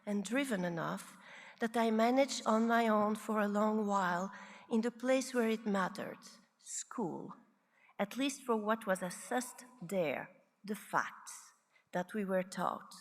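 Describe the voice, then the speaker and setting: A woman in her sixties from Belgium, a psychiatrist giving a prepared, reflective conference talk with measured, deliberate phrasing. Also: mid-range voice, long reverb tail. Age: 60s. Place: large conference hall.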